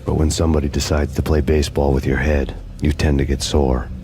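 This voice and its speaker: An actor playing a grim, hard-boiled cop who narrates in a deadpan, world-weary voice-over over game music. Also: male voice, extremely low pitch, brisk. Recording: game soundtrack music behind.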